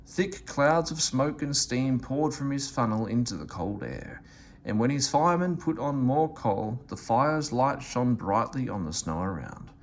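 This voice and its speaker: A man reading a children's story aloud in an expressive, animated way. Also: mid-range voice, unhurried, slight background noise. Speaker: storybook reader.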